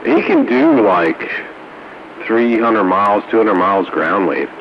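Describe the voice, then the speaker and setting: Deep-voiced man on noisy radio. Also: a little slow. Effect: two-way radio transmission. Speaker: radio operator in a group chat. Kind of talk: casual radio chat. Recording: muffled, noisy two-way radio.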